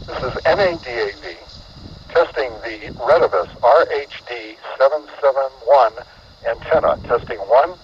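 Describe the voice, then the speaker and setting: A man transmits over a handheld radio, sounding distant and dull with some noise. His delivery is deliberate and measured, while his pitch moves widely. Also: expressive. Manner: deliberate, counting and spelling out call signs. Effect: walkie-talkie radio transmission. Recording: muffled, noisy, distant two-way radio playback.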